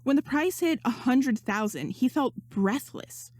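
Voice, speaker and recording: A woman reading fan fiction aloud as narrator, in an expressive audiobook style. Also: sits high, measured pace, no echo. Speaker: podfic narrator.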